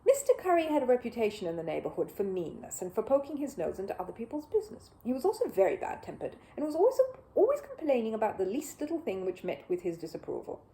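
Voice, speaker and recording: A woman reading a children's story aloud in an expressive, animated way. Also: a little high, fast talker, hardly any pauses, low-quality recording.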